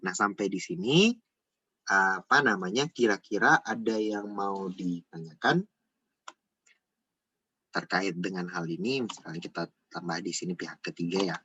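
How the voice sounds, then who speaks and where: A man's voice: low-pitched, unhurried, pauses often, sharp tone, slight background noise, low-quality recording. A man giving an informal step-by-step tutorial, repeating himself and often adding small checking tags. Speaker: accounting tutor.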